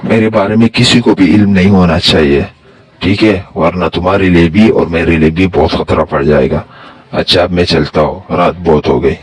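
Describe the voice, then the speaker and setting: A man's voice: sits low, steady tone, moderate pace, slightly rough. A man playing a grateful, solemn jinn in a dramatized children's story, with formal, emphatic speech. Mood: resolute.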